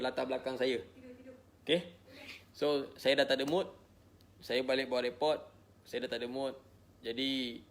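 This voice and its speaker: A man's voice: mid-range voice, fairly bright tone. A middle-aged Malaysian man speaking informally to his followers in a repetitive, emphatic way, often checking in with short tags. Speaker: social media personality addressing followers. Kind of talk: informal live monologue to followers.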